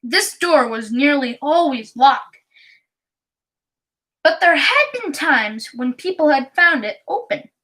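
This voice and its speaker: High, bright, slow-reading female voice. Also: low-quality recording. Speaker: audiobook reader. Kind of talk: reading a children's storybook aloud.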